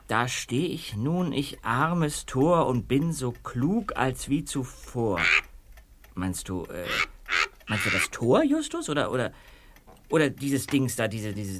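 Excitable, puzzled boy detective, animated pitch. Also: a little hiss or hum. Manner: puzzled, defensive, expressive. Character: excitable, slightly slow-witted teenage boy detective. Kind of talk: German audio drama acting.

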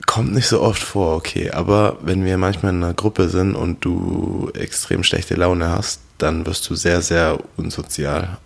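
A man's voice: low-pitched, level tone, a little slow, creaky, few pauses, husky. A man co-hosting a casual podcast, earnestly explaining his points step by step. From half American, half German, born in Germany. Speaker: podcast co-host.

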